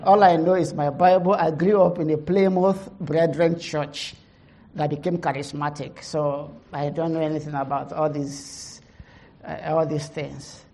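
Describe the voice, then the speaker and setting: A middle-aged woman pastor with a heavy Nigerian accent gives a conference testimony, halting, with many fillers, laughing at herself. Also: sits low, fairly even intonation, warm tone.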